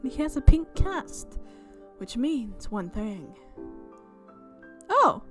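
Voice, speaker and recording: A woman's voice: high voice, big pitch swings, takes it slowly. A woman game streamer reading visual novel narration aloud, breaking off into chatty, exclamatory asides. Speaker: gaming channel host. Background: game music.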